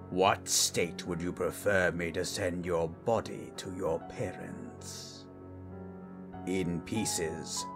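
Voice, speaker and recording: Man voicing an ancient undead sorcerer, very deep and slow. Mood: quietly menacing. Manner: slow, deliberate, formal. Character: ancient undead collector, calm, stubborn, menacing male sorcerer. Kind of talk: audio roleplay drama.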